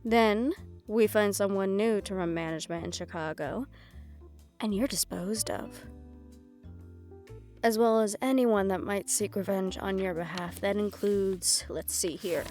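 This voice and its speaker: A woman's voice: mid-range voice, a little slow, a little mellow, faint music behind, lightly acted. Woman playing a menacing, silky mafia underboss woman. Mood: coolly businesslike, then veiled menace. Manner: mock-polite, coolly threatening. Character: menacing, composed female mafia underboss, silkily condescending. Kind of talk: audio roleplay acting.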